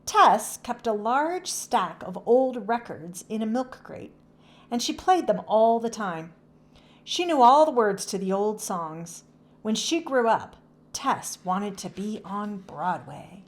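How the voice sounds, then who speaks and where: A woman reads a children's storybook aloud. Her pitch moves up and down in a lively way as she narrates, in a medium register. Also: slow.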